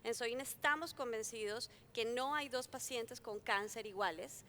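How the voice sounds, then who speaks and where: A woman's voice: a little high, a little slow, bright, forceful tone, a little hiss or hum. A woman from Colombia with a mix of Colombian and Mexican accent, speaking earnestly as a panelist at a conference forum. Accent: Colombian Spanish mixed with Mexican. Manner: earnest, fluent, repeats words when restarting. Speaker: panelist, company founder. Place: conference hall.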